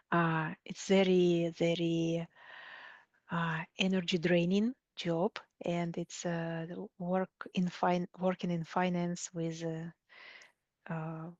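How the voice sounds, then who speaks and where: Woman, Russian accent, hesitant conversational answers, flat intonation, dry dull recording. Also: lowish voice, no echo. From Siberia, Russia. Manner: hesitant, searching for words. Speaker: coach, interview guest.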